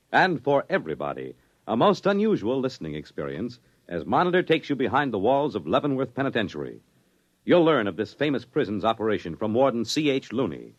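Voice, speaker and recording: A man's voice: medium pitch, lo-fi sound. A man with an American accent announcing in a dramatic, declamatory 1950s radio style. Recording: restored vintage radio recording.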